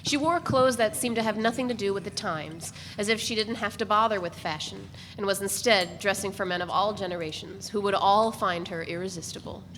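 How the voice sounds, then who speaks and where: A woman reading literary narration aloud at a steady, measured pace. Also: medium pitch, in a large hall, a little hiss or hum, rough recording.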